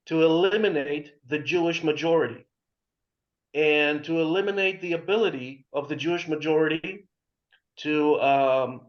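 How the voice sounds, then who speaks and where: A man, arguing emphatically on a video call, repeating words and restarting sentences. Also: a little high. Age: 40s. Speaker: panelist. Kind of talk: opinionated discussion on a video call. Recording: muffled video call audio.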